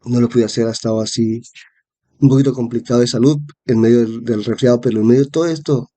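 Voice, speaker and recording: A man's voice: medium pitch, fairly even intonation, quick pace, warm tone. A man giving a Bible-teaching sermon, congested with a cold and repeating phrases for emphasis. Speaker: preacher.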